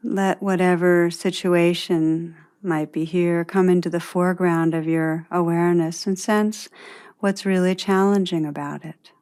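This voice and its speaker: Slow, lower-pitched woman guiding meditation. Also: fairly even intonation, clear, resonant tone. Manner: gentle, deliberate, spacious pauses between phrases. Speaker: meditation guide. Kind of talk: guided meditation narration.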